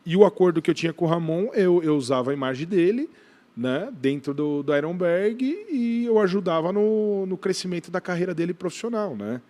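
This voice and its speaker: A man's voice: high-pitched, lively intonation, a little fast, dark tone. A man with a Brazilian accent answering as a podcast guest in casual conversation, often restarting phrases, repeating words and adding short tag questions. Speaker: podcast guest, gym brand owner.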